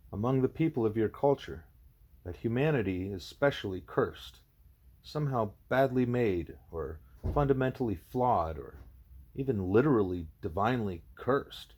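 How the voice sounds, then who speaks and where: A man voicing a wise, patient male gorilla teacher in an audiobook, speaking in a formal, measured, probing way. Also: medium pitch, a little mellow, natural voice. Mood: measured, explanatory. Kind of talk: audiobook character dialogue.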